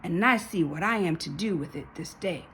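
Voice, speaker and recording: A woman's voice: fairly low pitch, moderate pace, fairly bright tone, slight background noise, natural voice. A woman voicing a bold, defiant young cowgirl in a storybook, with a folksy, declamatory delivery. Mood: bold resolve. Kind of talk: storybook read-aloud character voice.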